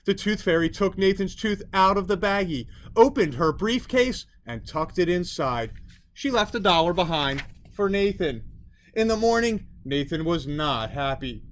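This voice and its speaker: A man narrating a children's storybook as a storyteller, in expressive scripted narration. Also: high voice, normal speed, a little hiss or hum. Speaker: storyteller reading a children's book.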